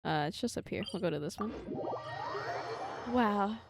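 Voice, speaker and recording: A young woman doing casual livestream game commentary, expressive and theatrical, giggly and slangy. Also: mid-range voice, measured pace, very noisy. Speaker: live streamer.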